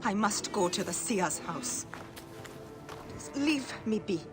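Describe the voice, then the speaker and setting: Slow, brittle, despairing wife. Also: female voice, medium pitch, expressive, music in the background. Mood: bitter despair. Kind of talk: dramatic video game acting.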